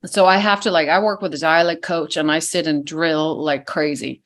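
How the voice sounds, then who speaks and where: Danish-accented woman, low voice. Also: steady tone, moderate pace. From Denmark. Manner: hesitant, many fillers. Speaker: actress guest. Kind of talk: casual interview chat.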